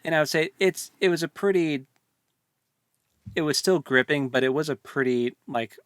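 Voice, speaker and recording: A man's voice: a little high, moderate pace, a bit bright, dry recording, studio quality. A man co-hosting a podcast, talking through his views in a casual, meandering book discussion. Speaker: co-host of a book read-along podcast.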